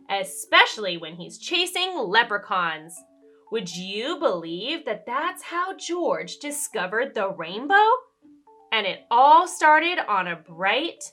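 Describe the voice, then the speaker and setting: A woman's voice: fairly high pitch, pushed and bright. A woman storyteller reading a children's book aloud expressively, with dramatic emphasis and questions to the listener.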